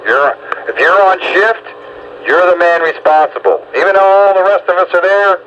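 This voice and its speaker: A man's voice: high-pitched, normal speed, a bit bright, highs cut off. A man chatting casually and teasingly as an amateur radio operator over two-way radio. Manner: joking, teasing. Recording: very noisy two-way radio transmission.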